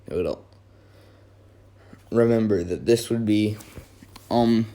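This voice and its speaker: A hoarse young man, his voice worn from yelling, rambling casually through a wrestling talk with many fillers and self-corrections. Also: lowish voice, slow pace. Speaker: wrestling video host. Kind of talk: casual fantasy-booking commentary.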